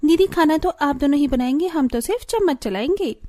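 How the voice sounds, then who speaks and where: Woman as high-voiced caring young daughter-in-law. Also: expressive, brisk, warm tone, slight background noise. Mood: playful. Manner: earnest, concerned. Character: caring, anxious young daughters-in-law in a village family cartoon.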